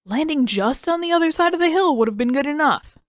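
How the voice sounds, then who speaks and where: A sarcastic teenage older brother, acted out by a woman narrator, grumbles his way through a storybook scene. His pitch jumps around in exasperated, whiny complaint. The sound is dry and close. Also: expressive, brisk, no echo. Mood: grumbling.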